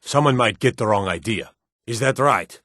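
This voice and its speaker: An actor playing a blunt, suspicious city guard in a fantasy video game, with folksy, old-fashioned turns of phrase. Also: male voice, medium pitch, animated, normal speed, fairly bright tone, no echo, clean studio sound. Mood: stern, wary. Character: gruff, wary male city guard in a fantasy realm. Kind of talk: video game character barks.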